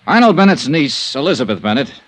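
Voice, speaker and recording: A man in an old-time radio crime drama playing an insurance investigator and ex-cop who testifies in a formal, factual, measured way. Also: medium pitch, sing-song, no room sound, poor audio. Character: male insurance investigator and ex-policeman, matter-of-fact witness.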